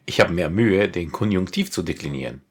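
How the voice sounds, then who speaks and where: Deep, husky man from Italy. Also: expressive, brisk. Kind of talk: short personal statement.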